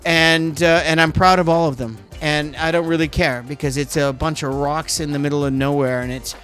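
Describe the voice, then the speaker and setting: A man's voice: a little high, steady tone, fairly bright tone, faint music behind. A middle-aged man with a Canadian accent hosting a podcast, chatting casually and rambling off on tangents. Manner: rambling, digressive, quick to go off on tangents. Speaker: podcast host. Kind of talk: casual chat.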